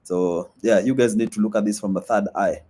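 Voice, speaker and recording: Low-voiced man. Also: steady tone, brisk, warm tone, breathy edge. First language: Swahili. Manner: loose and chatty, many fillers, reads comments aloud. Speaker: vlogger hosting a live stream. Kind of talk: casual live-stream chat reading comments.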